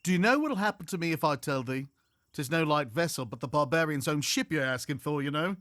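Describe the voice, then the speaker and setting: Man acting a gruff, growling sailor. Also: on the high side, animated, a little fast, fairly bright tone, no echo, clean studio sound. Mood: angry, defiant. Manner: grudging, growling, archaic sailor speech. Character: angry cornered male pirate-era sailor, gruff and grudging. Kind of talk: audio play dialogue.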